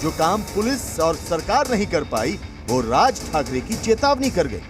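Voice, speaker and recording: A man's voice: a little high, big pitch swings, unhurried. A man reading a scripted news report as voice-over, with an emphatic, dramatic delivery. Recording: voice-over with music bed.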